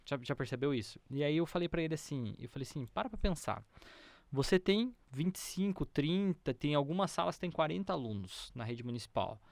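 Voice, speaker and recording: Male Brazilian interview host, higher register, animated conversational storyteller, dry studio-close sound. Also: brisk, creaky, no room sound. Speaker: podcast host.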